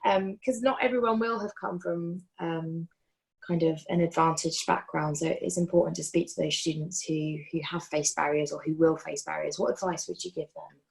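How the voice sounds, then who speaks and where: A woman's voice: low-pitched, steady tone, normal speed, vocal fry, rough recording. A woman hosting an online careers panel, asking questions and affirming the guests' answers. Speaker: host. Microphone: video call.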